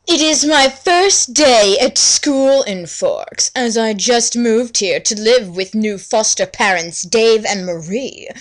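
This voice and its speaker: A woman plays a vain, whiny teenage girl telling her own story. The voice is fairly high and the delivery slow, affected and drawn out, with heavy melodrama in the manner of a mocking fan fiction reading. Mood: self-absorbed. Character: vain, whiny, melodramatic sixteen-year-old girl narrating her life.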